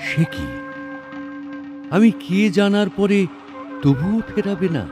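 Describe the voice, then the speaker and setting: A man's voice: high-pitched, expressive, takes it slowly. A man voicing a lofty, persuasive king of the gods in a grand, declamatory recitation of an epic verse drama. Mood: taken aback, reluctant. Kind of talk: dramatic Bengali poetry recitation with dialogue. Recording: studio voice over background music. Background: background music.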